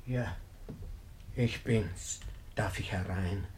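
An actor playing a fretful, devoted old Russian manservant in a German radio play, deferential and lamenting. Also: male voice, lowish voice, very slow, darkish tone, slight background noise. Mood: anxious, lamenting.

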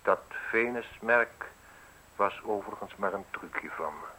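A man's voice: on the low side, unhurried, pushed and bright, slight background noise, poor audio. A man acting a ruthless, mocking journalist in a radio play, articulate and insinuating as he interrogates. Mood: relentless. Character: probing, mocking, ruthless male newspaper journalist needling an official.

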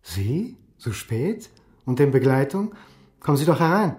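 An actor in a radio crime drama playing a beleaguered lecturer who answers tersely and defensively. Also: male voice, mid-range voice, very animated, measured pace, darkish tone, breathy edge, long reverb tail. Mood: surprised. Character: guarded, beleaguered history lecturer, a man.

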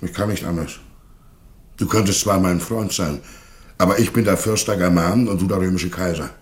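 An actor in a radio play playing a proud but reluctant Germanic prince and warlord, earnest and declamatory. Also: male voice, sits low, normal speed, lightly acted. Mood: regretful resolve. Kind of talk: theatrical radio play dialogue.

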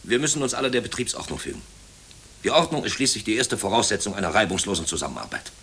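An actor playing a brusque, overworked middle-aged company director in a German radio play, officious and self-pitying. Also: male voice, lowish voice, sing-song, fast, sharp tone, heavily acted. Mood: curt, dismissive. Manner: brusque, officious, brooding when alone. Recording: hissy old radio play recording.

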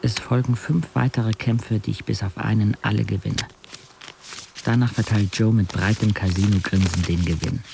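A man's voice: lowish voice, steady tone, normal speed, creaky, noisy background. An actor playing a determined young man who tells his own story matter-of-factly in a radio drama. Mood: reflective. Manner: matter-of-fact, terse present-tense telling. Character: young wheelchair-using man, determined arm wrestler telling his story. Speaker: narrator and main character. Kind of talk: scripted first-person radio drama narration. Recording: loud music behind. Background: music.

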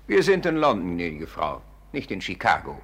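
A man playing an irritable, dryly sarcastic English gentleman in a 1950s German radio play, stiffly courteous and clipped. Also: low voice, huge pitch swings, normal speed, heavy background noise, exaggerated voice. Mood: curt. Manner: clipped, dry, formal.

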